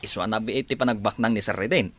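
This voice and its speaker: An actor with an Ilocano accent playing a blundering, cheeky village driver who blurts and exclaims in a comedy radio drama. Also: male voice, on the low side, fast talker, no echo. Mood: deferential, gossiping. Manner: animated, deferential yet blurting, exclaiming.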